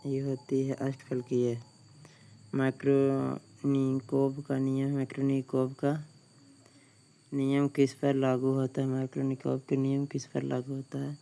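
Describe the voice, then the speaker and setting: A man with a Bihari Hindi accent reads practice questions in a slow, level monotone, saying each one twice before he gives the answer. His voice is mellow and smooth, and faint crickets chirp behind him. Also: mid-range voice. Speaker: exam tutor. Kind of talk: reading out quiz questions and answers.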